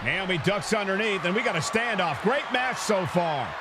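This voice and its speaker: A man's voice: high-pitched, animated, normal speed, very noisy. An American man commentating on a wrestling match in an emphatic, opinionated way. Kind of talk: sports commentary. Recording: loud arena crowd, music underneath. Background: roaring crowd and music.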